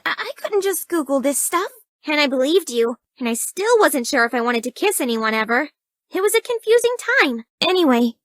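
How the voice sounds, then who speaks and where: A woman's voice: high-pitched, dry recording. A young woman voicing a shy, bookish, easily flustered dating-game heroine, sweetly awkward and hesitant. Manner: hesitant, breathy stammering, girlish and earnest. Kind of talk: video game dating-sim voice acting.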